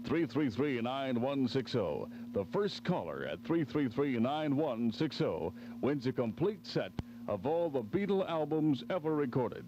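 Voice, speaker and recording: A noisy, dull old AM aircheck with hum carries an American male DJ. His voice is fairly low and swings widely in pitch as he runs a phone-in giveaway, repeating details with care. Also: very animated. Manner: repeats and spells things out deliberately. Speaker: radio DJ. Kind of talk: 1970s pop radio DJ patter, album giveaway and phone-in.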